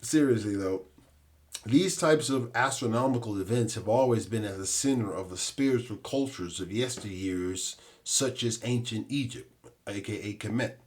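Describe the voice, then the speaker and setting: A man hosting a podcast, delivering a scripted monologue in a measured, explanatory manner. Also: lowish voice. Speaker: podcast host and author.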